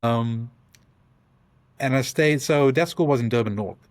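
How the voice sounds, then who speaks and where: A South African man, a podcast guest, talking through his story in halting restarts and frequent ums. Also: mid-range voice, no echo. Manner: thoughtful, speaks in halting restarts with many ums. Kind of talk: conversational interview.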